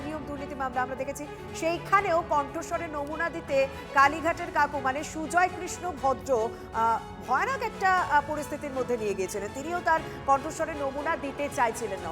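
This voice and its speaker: A woman anchors live breaking news in West Bengal Bengali. Her voice is high and she talks quickly, stopping and repeating for emphasis. A prominent music bed runs behind her. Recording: music bed under studio voice.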